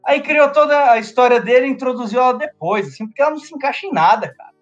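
Slangy high-voiced podcast guy. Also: animated, quick pace, muffled recording, soft background music. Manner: slangy, loose, laughs often. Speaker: podcast co-host. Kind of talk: casual film review chat.

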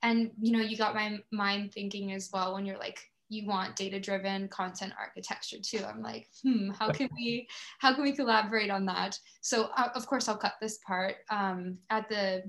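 A woman's voice: mid-range voice, steady tone, normal speed, a bit bright. An American woman from Florida speaking as a podcast host in a casual, conversational interview. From Florida, United States.

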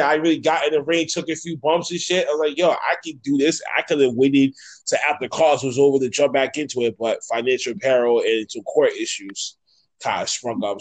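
A man's voice: fairly high pitch, rough recording. Encouraging, slangy male podcast host. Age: 30s. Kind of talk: casual interview chat.

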